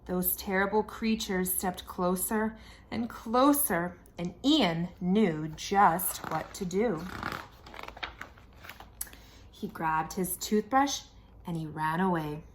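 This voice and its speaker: Lady doing storytime for kids, expressive read aloud, dramatic pauses. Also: fairly low pitch, a little hiss or hum. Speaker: children's storybook reader.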